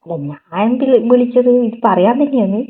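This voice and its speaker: Husky woman over a tinny phone line. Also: on the high side, animated, moderate pace. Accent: Kerala Malayalam, northern. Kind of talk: casual intimate phone chat. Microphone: telephone call.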